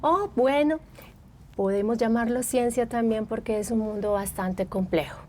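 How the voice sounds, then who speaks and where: Middle-aged colombian woman, antioquia accent, slightly high voice, chatty and reflective, bit of hiss behind. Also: normal speed. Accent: Antioquian Colombian Spanish. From Colombia (Medellín). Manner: warm, reflective, laughs often, uses fillers. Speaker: guest psychologist and therapist. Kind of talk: casual podcast conversation.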